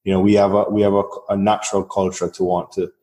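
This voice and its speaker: A man's voice: sits low, flat delivery, fast talker, warm tone. A Jamaican man, a business guest on a podcast interview, who talks fluently but often repeats words while he finds his point. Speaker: business process outsourcing guest.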